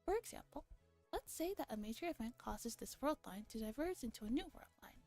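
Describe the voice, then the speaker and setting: A woman's voice: fairly high pitch, lively intonation, brisk, warm tone, faint music behind. A woman voicing a condescending, self-assured internet theorist in a visual novel, with a lecturing manner. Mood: patient condescension.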